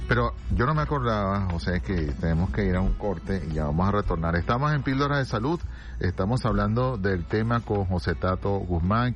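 A man's voice: low voice, muffled recording. A man hosting a radio interview, speaking reflectively and searching for his words. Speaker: radio host and psychiatrist. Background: background music.

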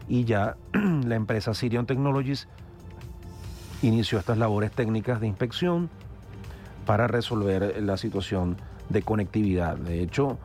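A man with a Venezuelan Spanish accent hosting a radio news analysis hour, measured and hesitant with many fillers. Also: fairly low pitch, warm tone. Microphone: studio microphone.

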